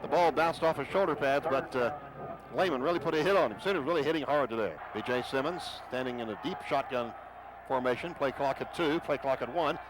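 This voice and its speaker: A middle-aged man calling a football game for radio, quick play-by-play that jumps to shouts on big plays. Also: mid-range voice. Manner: rapid excited calls rising to shouts on big plays. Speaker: play-by-play announcer. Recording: noisy stadium radio broadcast.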